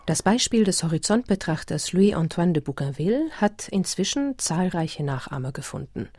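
A narrator in a radio play, reading a whimsical documentary report in a measured, formal, matter-of-fact way. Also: medium pitch, very animated, a little mellow, dry recording, clean studio sound. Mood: sober, measured.

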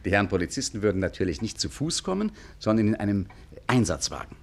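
A cool schemer gangster, deep lively voice, noisy old radio recording. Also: male voice, quick pace. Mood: cool, instructive. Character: cool, scheming male gangster planning a trap. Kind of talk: 1950s German satirical radio drama acting.

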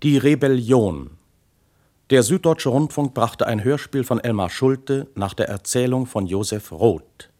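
A male announcer reads the credits of a radio play. The voice is mid-pitched and formal. The pace is slow and measured, each item set apart. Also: mellow. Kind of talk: scripted broadcast credits.

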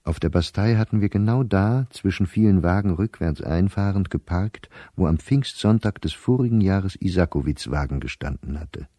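An actor playing an embittered, middle-aged scholar reading his diary in a measured, dry, articulate radio drama delivery. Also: low voice, animated, dark tone, no room sound. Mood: reflective.

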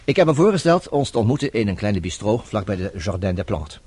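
A man's voice: lowish voice, sing-song, fairly quick, a little mellow, slightly rough, no echo. An actor playing a worldly, self-assured man who advises his troubled friend in a chatty, teasing radio drama style. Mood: brisk, wry.

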